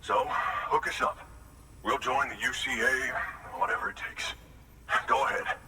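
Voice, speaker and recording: A man's voice: mid-range voice, fairly even intonation, measured pace, background noise, close to an everyday voice. An actor playing a desperate, grateful expectant father who pleads for help for his pregnant partner in a game cutscene. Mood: urgent. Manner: urgent, shaken pleading. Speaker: mountain prepper awaiting medicine. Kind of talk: video game cutscene acting. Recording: distant mic with score music.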